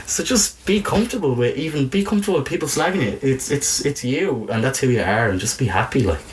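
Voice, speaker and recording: A man's voice: medium pitch, animated, fast talker, poor audio. A man with a Dublin accent telling personal stories in a candid, informal, sweary way, peppered with "you know". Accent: Dublin Irish. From Ireland. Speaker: interview guest, video maker. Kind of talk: casual personal storytelling. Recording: noticeable background noise.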